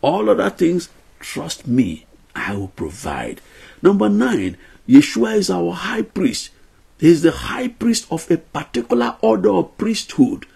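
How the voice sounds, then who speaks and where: An older man gives an earnest Bible lesson straight to camera from a small room at home. His voice is husky and gravelly, with a warm, mellow tone, and his pitch swings widely as he stresses each numbered point. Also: sing-song, measured pace. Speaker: Bible teacher.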